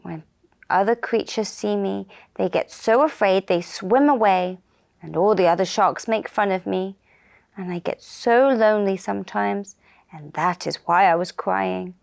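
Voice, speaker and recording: A woman voicing a gentle, lonely male shark in a children's puppet show, soft and shy, speaking in simple, earnest phrases. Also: medium pitch, a little slow, creaky. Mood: wistful, lonely.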